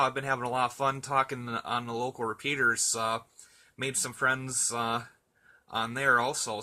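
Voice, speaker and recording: A man's voice: medium pitch, sharp tone. A man in a casual radio chat, talking in an easygoing, chatty and hesitant way as an amateur operator.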